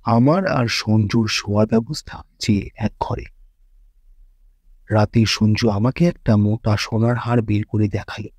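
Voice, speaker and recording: A man's voice: lowish voice, fairly even intonation, measured pace, a little mellow, slightly rough, no echo, studio quality. A man reading a horror story as dramatic scripted narration.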